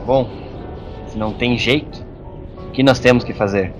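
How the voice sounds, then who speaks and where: A man with a Brazilian accent playing a gruff, insulting hermit monk with magic powers in an audio story. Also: mid-range voice, moderate pace, music in the background, lightly acted. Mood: grudging. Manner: gruff, scornful, exasperated. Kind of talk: audio drama acting.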